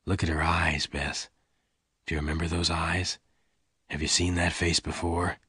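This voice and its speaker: A gruff, gentle gunman from a western storybook, acted out by a male reader. The voice is very deep and quiet, with a deliberate, solemn delivery. The sound is dry and close. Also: little pitch movement, dry recording, full character voice. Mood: gentle, grave. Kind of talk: dramatised audiobook dialogue.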